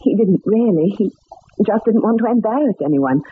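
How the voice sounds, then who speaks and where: An actress voicing a grieving young woman, a murdered man's fiancée, in a vintage radio drama, speaking softly and tearfully. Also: mid-range voice, lively intonation, normal speed, breathy edge. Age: young adult. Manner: tearful, fragile, softly spoken. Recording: lo-fi old radio broadcast with hiss.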